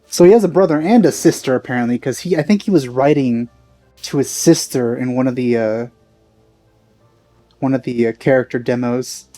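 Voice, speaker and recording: A man's voice: medium pitch, moderate pace, warm tone, faint music behind. A man giving casual let's play commentary with dry, teasing asides.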